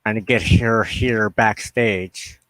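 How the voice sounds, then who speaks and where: Slow, deliberate man hosting a show. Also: on the low side, steady tone, a bit bright, dry recording, rough recording. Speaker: host of a religious broadcast show. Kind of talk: informal livestream introduction and announcements.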